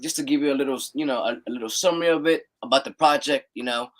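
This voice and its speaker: A young man from Peru, raised in the United States, talking as a musician guest in a relaxed way, often repeating words and checking in with "right?". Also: mid-range voice, quick pace. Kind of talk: casual interview chat.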